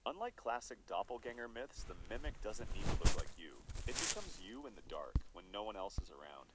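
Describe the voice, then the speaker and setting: Ominous low-voiced male horror narrator. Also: big pitch swings, fairly quick, very noisy. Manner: ominous, dramatic. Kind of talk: scripted horror documentary narration.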